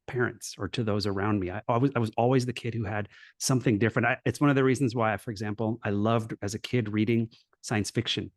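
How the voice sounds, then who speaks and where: A man's voice: fairly low pitch, steady tone, quick pace, no room sound, studio quality. A middle-aged man from Los Angeles, a guest on an interview show, telling his life story in an earnest, reflective way with many restarts. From Los Angeles, USA. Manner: earnest, reflective, with frequent restarts and "you know". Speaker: guest, coach and former professor.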